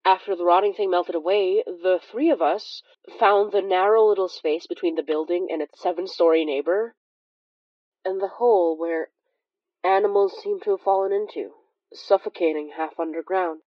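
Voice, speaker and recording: A woman's voice: fairly low pitch, steady tone, normal speed, no room sound, muffled recording, natural voice. An actress playing a young Filipino immigrant woman who recounts horrors in a conversational, hesitant, wry way for an audio drama. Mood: grave, relieved. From Philippines. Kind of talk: audio drama first-person confessional narration.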